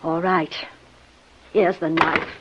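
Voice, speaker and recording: A woman's voice: low-pitched, level tone, slow, dull audio, noisy background. A woman playing a jealous, vengeful sister-in-law with intense, melodramatic 1950s radio acting. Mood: grim.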